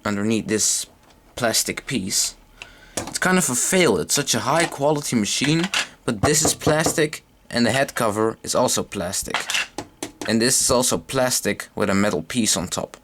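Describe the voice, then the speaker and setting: A man's voice: mid-range voice, expressive, moderate pace. A man casually talking through an unscripted hands-on gear demonstration, a little hesitant as he goes. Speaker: hobbyist demonstrating vintage audio gear. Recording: noisy amateur camera sound with clicks.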